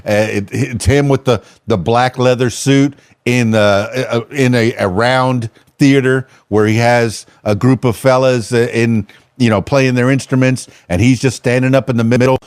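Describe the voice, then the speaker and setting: A man's voice: mid-range voice, fairly even intonation, normal speed, a little mellow, dry recording, clean studio sound. A man hosting a podcast, chatting casually and rambling with lots of fillers and restarts. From Miami. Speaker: podcast host. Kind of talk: casual interview chat.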